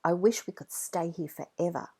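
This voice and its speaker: A woman voicing an adventurous young boy in a children's storybook, eager and expressive, brave but easily worried. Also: lowish voice, moderate pace, breathy edge. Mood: excited, playful. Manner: excited, pleading, calling out. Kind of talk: children's read-along storybook character voice.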